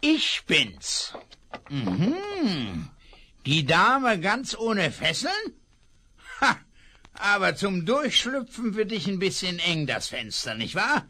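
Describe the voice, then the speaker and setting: A man's voice: sits high, huge pitch swings, slow, sharp tone, exaggerated voice. An actor playing a ruthless, scheming male outlaw boss in a 1970s radio play, snarling threats. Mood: menacing.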